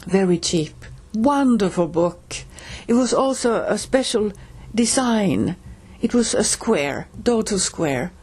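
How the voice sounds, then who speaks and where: A middle-aged woman with a Finnish accent, telling a personal story slowly, with pauses and restarts. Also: mid-range voice, expressive, darkish tone, slightly rough. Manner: slow, halting, with pauses and restarts. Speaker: reader and storyteller.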